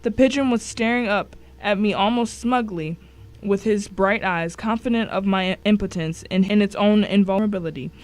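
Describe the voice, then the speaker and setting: A woman's voice: medium pitch, moderate pace. Woman narrating a suspense story. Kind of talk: dramatic first-person audiobook narration.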